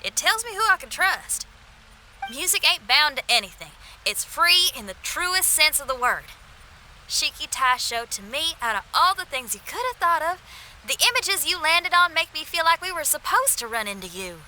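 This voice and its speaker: Bright, breezy young game musician. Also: female voice, high voice, sing-song, normal speed, a little hiss or hum, heavily acted, rough recording. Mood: assured. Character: confident free-spirited young female musician. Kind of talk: video game voice acting.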